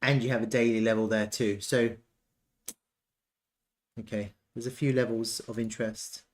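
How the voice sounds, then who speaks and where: Man casually thinking aloud, halting delivery. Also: medium pitch, level tone. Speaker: trading analyst presenting chart analysis. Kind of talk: casual off-the-cuff chart commentary.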